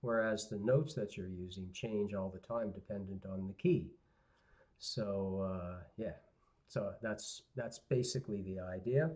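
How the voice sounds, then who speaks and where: A male guitar teacher giving a live online lesson, hoarse and raspy, clearing his throat often, talking to viewers in a relaxed, rambling way. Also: lowish voice, measured pace. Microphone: computer mic on a live stream. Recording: dull lo-fi livestream audio.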